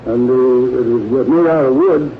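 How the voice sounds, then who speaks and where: A man's voice: medium pitch, normal speed. A man giving unscripted answers as a panelist on a 1940s radio quiz show. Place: radio studio. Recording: noisy narrow-band 1940s radio recording.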